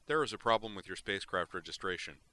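A man's voice: sits low, lively intonation, fast, sharp tone. A man voicing a stern male patrol commander giving orders over a ship's comm.

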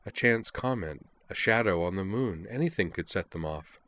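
A sad, anxious young woman, voiced by a male narrator confides quietly. The words come softly and hesitantly, almost murmured, in a gentle storybook-reading manner. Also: low-pitched, animated, moderate pace. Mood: fearful, sad.

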